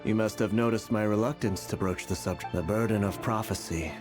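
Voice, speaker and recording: Man acting low-voiced, solemn, aloof seer. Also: music in the background, natural voice. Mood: grave. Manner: formal, measured, cryptic. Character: solemn, aloof male seer burdened by prophecy. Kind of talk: video game character acting.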